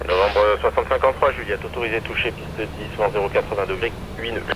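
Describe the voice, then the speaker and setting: A male air traffic controller with a fairly low voice speaks in halting radio instructions. The transmission comes through an aviation radio over clear engine and cockpit noise. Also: fairly even intonation. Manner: hesitant, halting phrasing. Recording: noisy aviation radio with aircraft drone.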